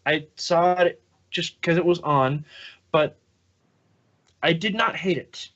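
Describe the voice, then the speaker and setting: A man's voice: on the high side, takes it slowly, fairly bright tone, dull audio. A man co-hosting a podcast, chatting casually and tossing in dry, quippy remarks. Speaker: podcast co-host. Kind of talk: casual group chat.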